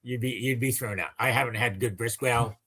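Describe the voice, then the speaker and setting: Curious podcast host asking questions. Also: male voice, medium pitch, brisk, pushed and bright, husky. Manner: curious, asks questions, jokes. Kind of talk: casual interview chat.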